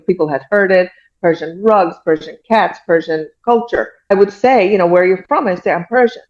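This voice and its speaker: A woman's voice: fairly low pitch, a little mellow, muffled recording. A middle-aged woman from Iran, a guest telling personal stories in a casual interview in an earnest, reflective way, often saying "you know".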